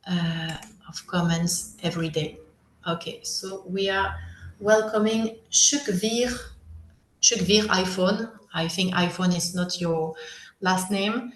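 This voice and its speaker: A woman's voice: fairly low pitch, reverberant, slight background noise. A French woman in her thirties teaching a live online workshop, switching between French and English, patient and encouraging with many hesitations.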